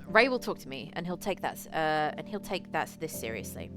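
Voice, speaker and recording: A woman's voice: sits low, sing-song, brisk. A woman voicing a practical, self-assured woman with a matter-of-fact manner in a visual novel game. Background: music.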